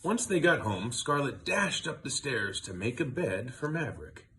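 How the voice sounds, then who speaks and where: A man reading a children's picture book aloud in an animated storytelling style, adding asides about the pictures. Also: mid-range voice, normal speed, slight background noise. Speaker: storybook reader.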